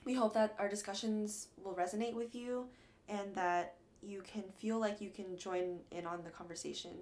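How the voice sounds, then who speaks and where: A woman's voice: mid-range voice, fairly even intonation, normal speed, a little mellow. Upbeat young woman co-hosting a podcast. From Atlanta, Georgia. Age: young adult. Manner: upbeat, laughs often, reads intro lines. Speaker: podcast co-host. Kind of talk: casual college radio podcast chat.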